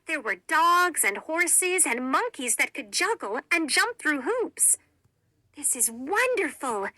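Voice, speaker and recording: A woman reads a children's storybook aloud, expressively and very slowly. Her voice is fairly high and bright, recorded dry and close. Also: sing-song, no echo. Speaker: storybook narrator. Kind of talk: children's read-along narration.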